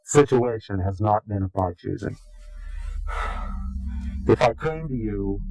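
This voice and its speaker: A man answering interview questions combatively, in a formal, deliberate and contemptuous way. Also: fairly low pitch, a little mellow, no echo, poor audio. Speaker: interviewed anonymous informant.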